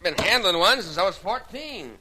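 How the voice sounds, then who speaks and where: A man playing a gruff, curt young lumberjack with a defiant manner in an old radio drama. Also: high-pitched, animated, normal speed. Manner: curt, rough-spoken. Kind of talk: old-time radio detective drama acting. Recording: very noisy old radio, chopping sounds.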